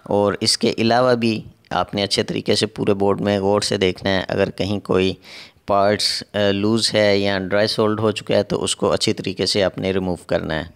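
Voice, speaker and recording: A man's voice: on the low side, little pitch movement, moderate pace, clean tone. A man narrating a step-by-step repair tutorial as an instructor, in a methodical way with repetitive phrasing.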